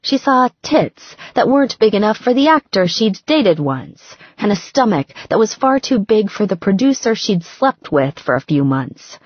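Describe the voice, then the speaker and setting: A woman reading romance fiction as an audiobook narrator, with expressive, dramatic phrasing. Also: medium pitch, moderate pace, hardly any pauses, breathy edge, no echo, highs cut off.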